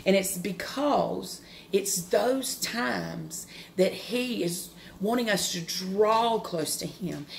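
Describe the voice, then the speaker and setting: Woman, forties, loud carrying voice, earnest devotional speaker, slight vocal fry. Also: medium pitch, slight background noise. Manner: earnest and open, with pauses and restarts. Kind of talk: personal devotional talk.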